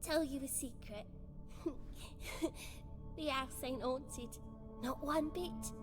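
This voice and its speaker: A woman's voice: high voice, extremely slow, over music. A woman voicing a playful, teasing little girl sprite in a video game, childish and mischievous. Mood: sly. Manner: teasing, speaks of herself in third person.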